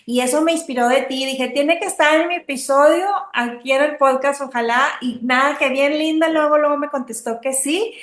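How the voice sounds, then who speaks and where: High-voiced woman podcast host, echoey. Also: normal speed, few pauses. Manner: effusive, warm praise of guest. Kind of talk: casual interview. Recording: roomy echo.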